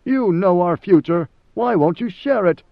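A man's voice: on the high side, moderate pace, dry recording, muffled recording, natural voice. A man voicing an anxious, devoted male major domo who speaks in a pleading, deferential way.

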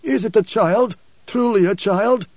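An imperious, troubled old blind preacher of the desert, acted by a male narrator in an audiobook. The voice is nasal, raised well up in pitch and drawn out, on dry, slightly dull audio. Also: a little mellow, dry recording. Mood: disbelieving. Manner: demanding, raised, drawn-out delivery.

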